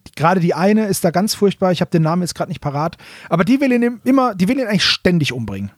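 A man's voice: high-pitched, sing-song, fast, no echo, clean studio sound. A man co-hosting a podcast, retelling a story in casual, colloquial phrases and correcting himself as he goes. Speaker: podcast co-host.

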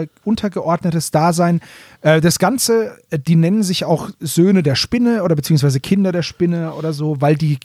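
Higher-voiced man chatting casually, close dry sound. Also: darkish tone, dry recording. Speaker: podcast co-host. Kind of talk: casual, conversational fan talk.

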